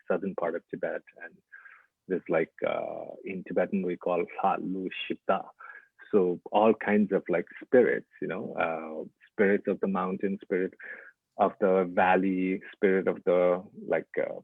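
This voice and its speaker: A man from Nepal walks through his artwork in a slow, halting way, pausing on "uh" and "like". His voice sits very low, with a gravelly and husky grain. The sound is thin and boxed in, like a dry phone line. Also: dry recording. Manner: halting, full of "uh" and "like" fillers. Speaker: artist presenting his work. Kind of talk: informal lecture with slides. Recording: tinny, dry phone-like audio.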